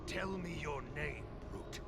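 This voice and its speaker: High, sneering, bloodthirsty soldier. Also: male voice, slow, over loud music. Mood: menacing, gloating. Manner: mocking, theatrical. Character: sneering, bloodthirsty male enemy soldier, boastful and cruel. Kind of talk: dramatic game voice acting.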